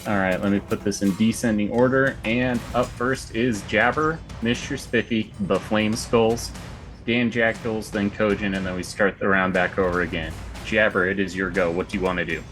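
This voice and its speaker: A man with a fairly low voice runs a tabletop roleplaying game online as game master. He narrates and manages the players' turns, with soft music faintly behind him. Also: fairly even intonation, moderate pace.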